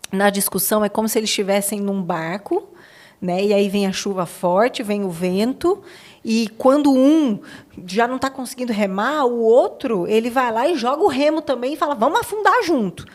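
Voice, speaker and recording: A woman's voice: on the high side, lively intonation, moderate pace. A woman with a Brazilian accent hosting a podcast interview, talking in a conversational, reflective way and affirming her guests. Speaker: podcast host.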